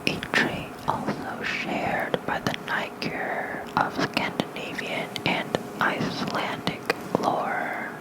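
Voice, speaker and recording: A person reading aloud in a soft, breathy, gentle whisper for ASMR, close to a fluffy microphone. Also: low-quality recording. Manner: slow, gentle, hushed reading. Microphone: close microphone with fluffy windscreen.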